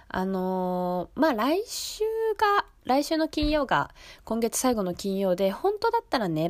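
A young woman hosts a radio show in a polite, chatty way. Her voice sits at a middling pitch and sounds slightly nasal, as if stuffed up. The sound is close and dry, with very little room in it. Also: a little mellow, dry recording. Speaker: radio host. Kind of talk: casual local radio show talk. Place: radio studio.

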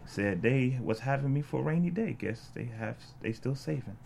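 Man acting a gravelly, sinister old bluesman. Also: medium pitch, expressive, moderate pace, a little mellow, slight background noise. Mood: haunted, bitter. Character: sinister old Southern bluesman, haunted, bitter, menacing. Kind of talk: table read of a screenplay.

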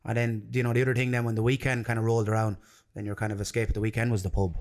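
Low-pitched Irish man chatting casually. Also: little pitch movement, very fast. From Ireland and London. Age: 30s. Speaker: podcast guest, jiu-jitsu coach. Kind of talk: casual chat.

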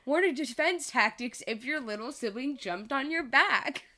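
A woman's voice: high voice, animated, moderate pace, bright, forceful tone. A teenage woman chatting with a close friend, laughing often and telling stories in a playful, wry way. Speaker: podcast co-host. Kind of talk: casual chat between friends.

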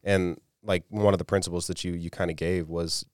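Deep-voiced young man co-hosting. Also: flat delivery, brisk, no echo, clean studio sound. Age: 20s. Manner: earnest, rambling, many restarts. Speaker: podcast co-host. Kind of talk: casual podcast conversation.

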